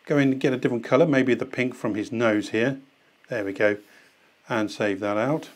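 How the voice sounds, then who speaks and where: A husky-voiced man in his forties talks through a software tutorial one click at a time, thinking aloud as he goes. His voice sits at an ordinary middle pitch for a man. He is recorded in a studio on a lapel mic. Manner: relaxed step-by-step, thinking aloud while clicking. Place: studio set with desk and laptop.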